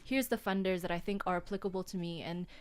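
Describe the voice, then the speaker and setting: A woman guest on a radio show, calmly explaining business funding in a relaxed, explanatory way and spelling things out slowly. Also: lowish voice, clear, resonant tone. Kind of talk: radio studio chat.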